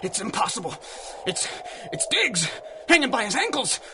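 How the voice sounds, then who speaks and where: An actor playing a jittery, defiant bootlegger in a 1930s-style radio drama, stammering and excitable. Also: male voice, high voice, moderate pace, noisy background. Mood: shocked. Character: jittery, defiant bootlegger gangster, adult man.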